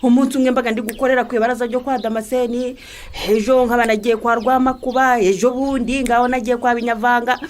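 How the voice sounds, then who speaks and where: A woman's voice: a little high, steady tone, fast talker, a little hiss or hum, close to an everyday voice. A Rwandan woman playing a fed-up, reproachful wife, complaining insistently in a radio drama.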